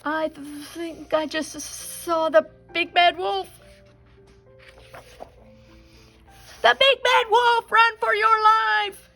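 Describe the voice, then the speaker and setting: Woman voicing a high, excitable little storybook chicken. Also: slow pace, gravelly, soft background music, heavily acted. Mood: panicked. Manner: exclaiming, stammering when flustered. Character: small young chicken, feisty, jumpy, excitable.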